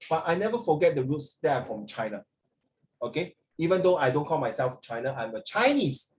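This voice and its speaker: A man's voice: a little high, sing-song, fairly quick, a little hiss or hum. A man from Singapore with a Singaporean accent teaching children in an online lesson, often checking with "right" and "okay". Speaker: English teacher. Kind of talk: online lesson to children, explaining over a video. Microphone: video call.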